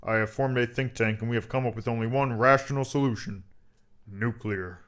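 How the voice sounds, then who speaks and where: A man's voice: mid-range voice, fairly even intonation, fairly quick, fairly bright tone, close to an everyday voice. A man acts out a hawkish government adviser for a comic audio drama. His mid-range voice is formal and grave, pressing every point with insistent zeal. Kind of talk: comedic audio drama acting.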